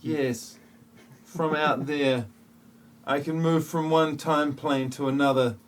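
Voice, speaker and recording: A man's voice: fairly high pitch, fairly even intonation, slow. A man acting a solemn mystic visitor in human form, speaking earnestly and portentously in an amateur parody audio play.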